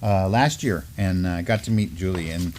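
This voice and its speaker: A man's voice: deep voice, moderate pace, darkish tone, slight background noise. A middle-aged man co-hosting a studio news program, reading articles aloud with many fillers and restarts. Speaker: co-host. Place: studio with mottled backdrop.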